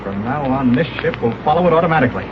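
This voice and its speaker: A muffled, noisy old radio broadcast carries a man acting a confident space hero. He is brisk and authoritative, with an average-pitched voice, in classic 1930s adventure serial style. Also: lively intonation, lightly acted.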